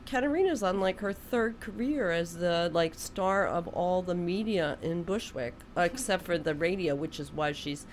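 A woman's voice: lowish voice, lively intonation, moderate pace, hardly any pauses, slight background noise. Chatty, rambling woman radio host. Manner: rambling, chatty, self-deprecating, with frequent restarts. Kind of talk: casual interview chat. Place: radio studio.

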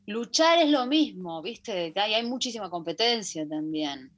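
A woman's voice: mid-range voice, expressive, a little slow. An Argentine woman, middle-aged, chatting as a guest in a radio interview about her stage career, full of fillers and restarts. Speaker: guest, musical theatre actress. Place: radio studio.

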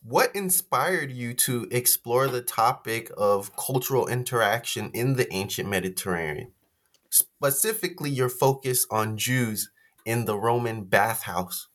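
A man's voice: mid-range voice, animated, measured pace, fairly bright tone. A man hosting a podcast, reading prepared questions to his guest in a formal way. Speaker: podcast host. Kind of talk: interview hosting.

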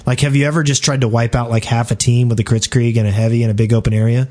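A man with an average-pitched voice chats casually on a gaming podcast. He comes across as inquisitive and a little hesitant, feeling his way through his thoughts as a panelist. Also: level tone, fast talker, darkish tone. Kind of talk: casual chat about gaming.

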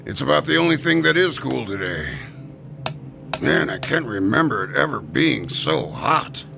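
Heavyset gravestone carver, very gravelly, lively swooping voice, folksy teasing, music under. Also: male voice, mid-range voice, moderate pace, background noise. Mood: jovial, teasing. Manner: folksy, teasing, darkly joking. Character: jovial heavyset sweating male gravestone carver. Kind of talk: radio drama acting.

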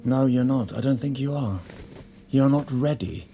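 Actor as halting, gravelly-voiced fed-up husband. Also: male voice, medium pitch, dark tone, faint music behind, close to an everyday voice. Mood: angry, exasperated. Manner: halting, stammering, repeating himself. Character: angry, hurt, hesitant estranged husband fed up. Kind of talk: naturalistic audio drama acting.

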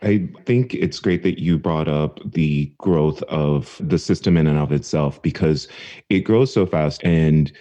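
A man with a very low, warm voice hosts an interview podcast, eager and a little stumbling as he speaks. The audio is dry and close, with a slightly dull, muffled tone. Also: a little slow, dry recording. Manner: enthusiastic, with small stumbles. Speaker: podcast host, assistant professor.

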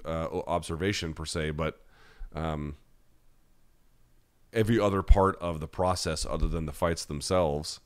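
Very deep-voiced American man hosting a podcast. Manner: blunt, conversational, opinionated. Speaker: podcast host. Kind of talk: live chat, reading and answering listener questions.

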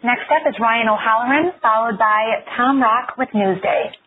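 Female call moderator, short announcements, thin phone-line sound, slightly high pitch. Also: moderate pace, slight background noise. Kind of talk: brief announcements of next callers. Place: telephone conference call.

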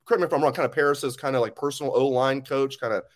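A man hosts a sports podcast in a casual interview. He talks quickly and rambles, joking and correcting himself as he goes. His pitch sits in the middle range. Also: fairly even intonation.

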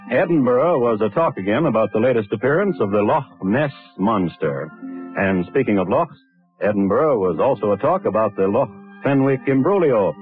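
Low-pitched man narrating on thin vintage radio. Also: phone-quality sound. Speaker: host and narrator. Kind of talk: scripted 1950s radio crime narration. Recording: thin, band-limited vintage radio broadcast. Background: music.

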